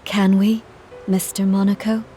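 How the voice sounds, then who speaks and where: A woman playing a courteous, reflective, dignified travelling performer in a video game, with measured formal delivery. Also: mid-range voice, flat delivery, dark tone, lightly acted. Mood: gracious. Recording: music under the voice.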